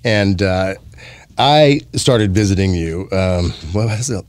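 A man's voice: low voice, lively intonation, takes it slowly, darkish tone, no room sound. A man co-hosting a health podcast, chatting and joking with his guest in a radio host's easy manner. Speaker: podcast co-host, radio morning show host. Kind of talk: casual chat.

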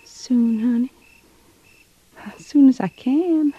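An American actress playing a gentle, loving pregnant young frontier wife in an old-time radio drama, with soft, folksy rural speech. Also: female voice, high voice, takes it slowly, slightly rough, heavily acted. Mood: reassuring, weary. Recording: dull vintage radio recording.

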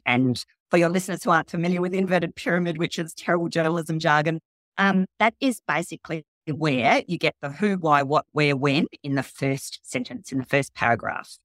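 Low-voiced woman journalist in interview. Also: dry recording. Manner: explanatory, hedging with asides. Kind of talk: conversational interview answers.

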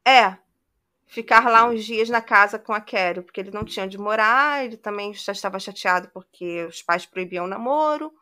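Woman podcast host, bright tone, chatty crime storyteller. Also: medium pitch, moderate pace. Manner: conversational retelling with frequent "né".

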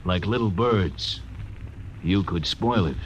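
A man's voice: low voice, animated, slow pace, slight background noise, poor audio. A man playing a mock-polite, menacing outlaw in a 1940s radio drama. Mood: taunting. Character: mocking male outlaw, sly and menacing. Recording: old radio broadcast over music.

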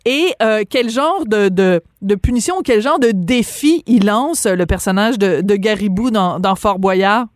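A woman born in France hosting a radio interview, asking questions in a lively, teasing way. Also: medium pitch, measured pace, hardly any pauses, no echo, clean studio sound. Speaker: radio host.

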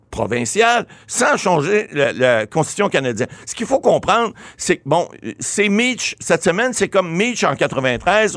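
A man with a Québécois French accent explains a new law as a legal commentator, his pitch rising and falling in lively swings. He often repeats and restarts words as he builds his point. His voice sits a little high and is recorded close and dry. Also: no echo. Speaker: lawyer commentator. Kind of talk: explanatory talk show commentary.

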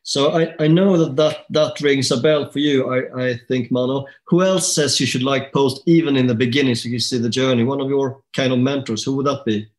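A man's voice: mid-range voice, a little fast, few pauses. Chatty middle-aged male host. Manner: relaxed, chatty, trailing off. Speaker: podcast host. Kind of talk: casual interview.

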